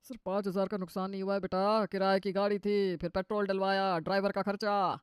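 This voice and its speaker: Woman as a fast, grumbling family man. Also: mid-range voice, little pitch movement, clean tone, no echo, studio quality, close to an everyday voice. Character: fed-up men of a modest middle-class family. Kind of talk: cartoon moral story voice.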